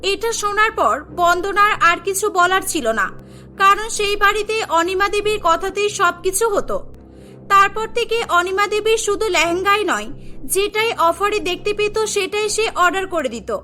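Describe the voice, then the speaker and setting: Female storyteller, scripted cartoon narration, extremely high pitch, bright tone, background music. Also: moderate pace. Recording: voice over prominent background music.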